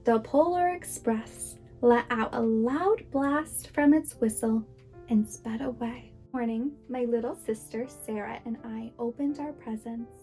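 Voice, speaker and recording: A woman reading a children's story aloud as a preschool teacher would, with dramatic, deliberate expression. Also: a little high, warm tone. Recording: music behind the voice. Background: music.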